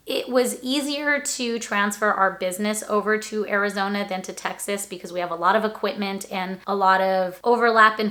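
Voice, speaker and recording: Woman vlogger, 40s, crisp bright tone, recovering voice, chatty and explanatory, at home with a camera mic. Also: mid-range voice. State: recovering voice after illness. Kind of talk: casual talk to camera.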